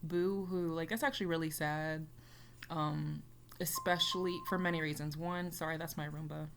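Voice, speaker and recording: A woman's voice: deep voice, fairly even intonation, normal speed, slight background noise. A young woman chatting casually as she reacts to a music video, rambling with lots of fillers. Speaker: reaction YouTuber.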